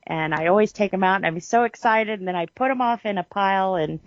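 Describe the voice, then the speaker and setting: A woman's voice: mid-range voice, fast talker, slight background noise. A woman co-hosting a podcast, talking casually in a chatty, informal storytelling way. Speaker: podcast co-host. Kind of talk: casual chat. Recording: dull, lo-fi sound.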